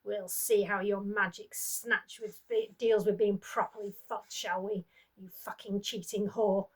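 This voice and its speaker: A woman reading aloud, voicing a brutal, sneering abusive husband who taunts in a loud, snarling way. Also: mid-range voice, level tone, unhurried, lightly acted.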